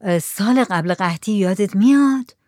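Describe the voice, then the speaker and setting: A woman's voice: medium pitch, animated, mellow, dry recording, clean studio sound, natural voice. Woman reading a dozy drummer dude, sleepy drawl, easygoing. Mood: drowsy. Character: drowsy poor drummer man, easygoing.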